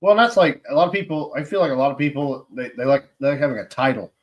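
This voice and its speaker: A man's voice: medium pitch, fast, highs cut off. A man hosting a podcast, laid-back and easy to laugh, chatting casually. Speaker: podcast host. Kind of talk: casual interview chat.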